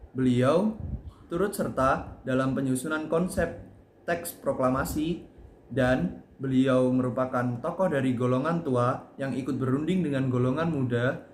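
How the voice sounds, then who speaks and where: A man's voice: medium pitch, darkish tone. A teenage young man giving a scripted school presentation, explaining carefully item by item. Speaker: high school student presenter. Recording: echoey room with faint noise.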